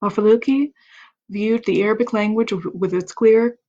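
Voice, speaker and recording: A woman with a voice of ordinary pitch reads a book aloud on a home live stream. She goes through the text steadily, trips over a word now and then and starts it again, and breaks off for casual remarks to her listeners. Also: steady tone, moderate pace, mellow, muffled recording. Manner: reads steadily, stumbles on words and restarts, chatty asides. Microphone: computer mic on a home live stream.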